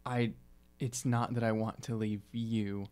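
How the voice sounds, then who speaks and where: A man playing a tabletop game, improvising in character with casual, halting, joking banter. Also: lowish voice, little pitch movement, darkish tone. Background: background music.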